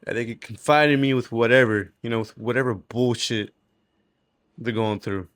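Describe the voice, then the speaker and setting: A man's voice: medium pitch, fairly even intonation, moderate pace, a bit bright. A man, speaking candidly in a rambling, slangy, sweary way, as in a casual confessional video diary. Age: 20s. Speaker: vlogger.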